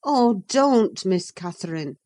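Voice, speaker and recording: A woman reading an audiobook, voicing a practical, cautious woman servant who talks in a measured, reproving way. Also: medium pitch, animated, darkish tone. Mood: protesting.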